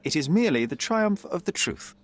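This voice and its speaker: An actor playing a young, principled and stubborn detective in a video game, speaking in a measured, formal way. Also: male voice, high voice, sing-song. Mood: earnest. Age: young adult. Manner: measured, earnest, formal speech.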